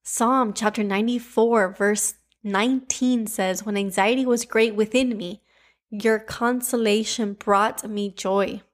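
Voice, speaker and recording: A woman's voice: medium pitch, steady tone, measured pace. A young woman with a Cuban accent hosting a devotional podcast, teaching scripture and leading prayer in an earnest, emphatic way.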